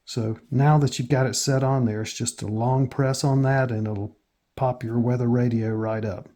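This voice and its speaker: An American man hosting a casual step-by-step tutorial in a plain, matter-of-fact way with hesitant pauses. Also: mid-range voice, fairly even intonation, warm tone. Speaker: tutorial host.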